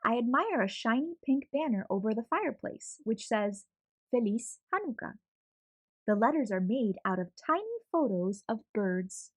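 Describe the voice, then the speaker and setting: Young woman, children's read-aloud, expressive, lively pitch, bright-ish tone, dry close sound. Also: normal speed, hardly any pauses, no room sound, poor audio. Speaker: storyteller.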